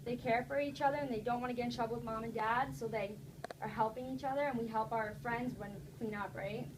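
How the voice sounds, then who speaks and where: A woman's voice: on the high side, fairly even intonation, fast talker. A woman teaching young children, reading a story aloud and encouraging them with frequent check-in questions. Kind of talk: interactive classroom read-aloud and discussion. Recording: noisy classroom recording.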